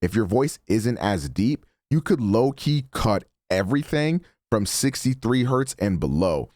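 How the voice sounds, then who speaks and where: Deep voiced streamer guy talking mic settings, chill and chatty, dry sound. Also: measured pace, dry recording. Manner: relaxed, chatty, self-correcting. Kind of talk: casual tutorial walkthrough. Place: home streaming setup. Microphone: studio broadcast mic.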